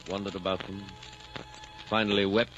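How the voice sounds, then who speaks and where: Deep, grave male narrator over music. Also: little pitch movement. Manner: grave, measured, dramatic. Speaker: narrator of a radio drama. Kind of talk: scripted 1940s radio narration. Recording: dull old radio recording over music.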